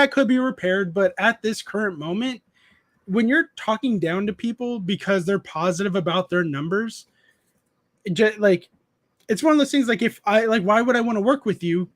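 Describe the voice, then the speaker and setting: High-voiced man chatting on stream. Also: fairly bright tone. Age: 30s. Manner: rambling, restarts often, reads chat aloud. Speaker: streamer host. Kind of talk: casual live-stream chat.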